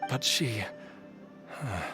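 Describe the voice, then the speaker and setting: An actor playing a troubled, forgetful man in a video game, halting and faltering as he strains to remember. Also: mid-range voice, lively intonation, over music. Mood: confused, distressed. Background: game soundtrack.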